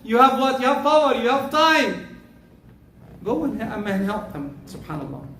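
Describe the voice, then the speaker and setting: A man giving a Friday sermon as khatib, loud and emphatic, stressing words as he preaches. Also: very high-pitched, lively intonation, unhurried, slight background noise. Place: mosque prayer hall. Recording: echoey hall, mic from far off.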